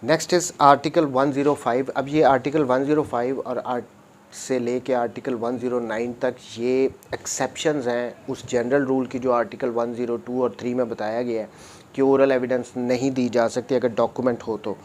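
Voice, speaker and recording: A man's voice: medium pitch, level tone, moderate pace, a little mellow, slight background noise. Methodical man giving a lecture. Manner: methodical, repetitive, switches between Urdu and English. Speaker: law lecturer. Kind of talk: explanatory lecture, reading out and paraphrasing legal articles.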